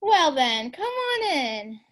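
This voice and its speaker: A grieving mother in an amateur audio drama, her voice soaring very high as she stammers and sobs, as a young woman acts her out. She swings from doting sweetness to fury. The tone is ringing and high. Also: big pitch swings, slow pace, fairly bright tone, full character voice. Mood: sweet. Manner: stammering, sobbing, doting then furious. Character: frantic grieving mother, middle-aged woman.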